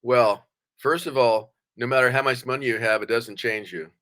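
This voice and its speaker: Older male guest, blunt and plain-spoken, with a bright, punchy tone. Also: mid-range voice, steady tone, normal speed. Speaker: podcast guest. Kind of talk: conversational interview.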